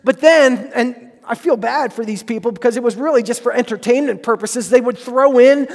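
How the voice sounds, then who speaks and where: High-voiced man preaching in a reverberant hall. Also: moderate pace. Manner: earnest, emphatic, repeats phrases for stress. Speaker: pastor. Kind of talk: sermon.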